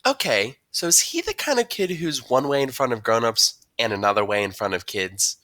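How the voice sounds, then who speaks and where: A man voicing a gentle, concerned and affectionate mother in an audiobook, speaking in a tender, coaxing, emphatic way. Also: mid-range voice, expressive, normal speed, dry recording, studio quality, voice pushed up. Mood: concerned, probing. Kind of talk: audiobook character dialogue.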